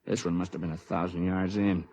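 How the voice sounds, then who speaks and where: An actor playing a gruff, slangy army sergeant in a 1950s radio drama. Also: male voice, low voice, little pitch movement, brisk, darkish tone, muffled recording, a little hiss or hum, lightly acted. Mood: weary, matter-of-fact. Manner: colloquial, barking orders.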